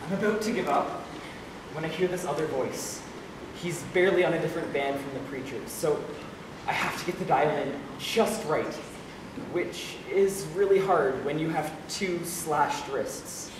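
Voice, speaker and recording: A man's voice: fairly high pitch, moderate pace, close to an everyday voice. A man playing a despairing but wry lonely young man in a confessional stage monologue. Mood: wry, confiding. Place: stage play recorded in a hall. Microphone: distant camera or room microphone. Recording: echoey hall from far off, noisy.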